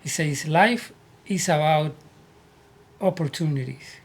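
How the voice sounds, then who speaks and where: A man from Puerto Rico with a Puerto Rican Spanish accent, telling his life story as an interview guest in earnest, halting English. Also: a little high, slightly rough. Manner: earnest, repeats words as he searches for English. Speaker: guest, army veteran.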